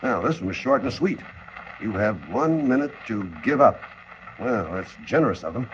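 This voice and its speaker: An actor playing a brave, protective adventurer in a 1940s-style radio serial, taking charge with urgency and reassurance. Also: male voice, mid-range voice, lively intonation, normal speed, natural voice. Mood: steady. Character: Bill, brave protective adventurer, grown man. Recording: noisy, muffled vintage radio recording.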